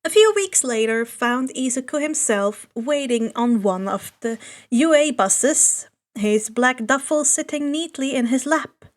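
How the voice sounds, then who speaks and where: A woman with a very high voice narrates fiction. She reads scripted prose aloud as an amateur audiobook narrator. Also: expressive, a little slow. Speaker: podfic narrator.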